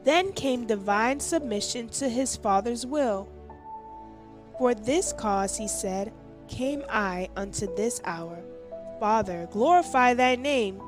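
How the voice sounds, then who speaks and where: A woman with a high voice reads a scripted devotional aloud as its narrator. Soft music accompanies the reading. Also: lively intonation, takes it slowly. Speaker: devotional reader.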